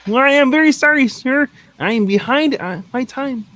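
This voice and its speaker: A woman as a high-pitched wisecracking puppet clerk. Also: lively intonation, normal speed, a bit bright. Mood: apologetic, cheeky. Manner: sarcastic muttered asides. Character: wisecracking puppet playing a meek, apologetic male clerk.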